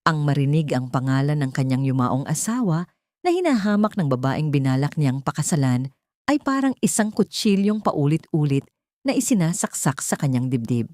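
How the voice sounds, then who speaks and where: A woman's voice: low voice, sing-song, normal speed, dark tone, dry recording, clean studio sound. A woman with a Filipino accent giving a dramatic scripted narration as an audiobook narrator.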